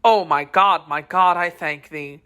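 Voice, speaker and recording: A woman's voice: fairly low pitch, measured pace, pushed and bright, clean tone. A woman voicing a passionate young male swordsman who calls out in alarm. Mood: frantic.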